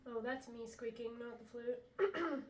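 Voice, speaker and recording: Woman flute maker, stuffy from a cold, relaxed product demo, recorded a bit off-mic in an echoey room. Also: on the high side, slow pace, recorded from a distance, loud music behind the voice. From US Pacific Northwest. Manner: chatty, relaxed, many ums. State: congested after a chest cold. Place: indoor room, talking to camera.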